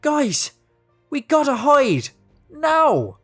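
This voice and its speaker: A fussy young fairy girl from a storybook, as a woman puts her on. She is very high-pitched and excitable, exclaiming with indignant drama. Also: very slow, soft background music, full character voice. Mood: alarmed. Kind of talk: storybook character voice.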